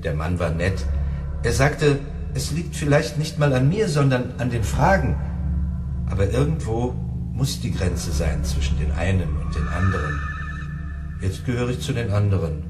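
A man's voice: fairly low pitch, sing-song, moderate pace. A lonely, simple neighbour in a radio play. He is shy and earnest, his intonation swinging widely, in an echoey space with music behind him. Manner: hesitant, earnest. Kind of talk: radio drama acting.

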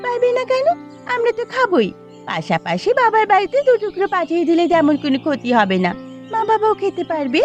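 A woman voicing a sharp-tongued, easily hurt young village wife in a cartoon. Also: very high voice, sing-song, fast, highs cut off, soft background music. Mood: coaxing. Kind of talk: Bengali cartoon dialogue.

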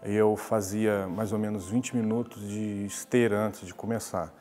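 A man's voice: on the low side, level tone, unhurried, warm tone, long reverb tail. A man, recounting a personal story in a conversational interview. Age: 40s. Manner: conversational storytelling, with many restarts. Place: glass-walled lobby, indoors.

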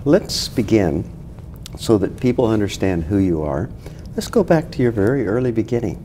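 An older man opening and leading an interview in a measured, formal way. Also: fairly low pitch, very animated, dark tone, slight background noise.

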